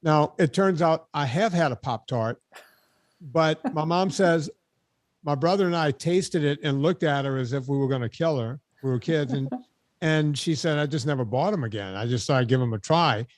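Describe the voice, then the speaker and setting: A man in his late fifties hosts a podcast in a chatty, storytelling way and breaks in with quick questions. His voice sits fairly high for a man. The sound is dry and close, with no room behind it. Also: no echo. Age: 50s. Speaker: podcast host. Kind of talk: casual interview chat.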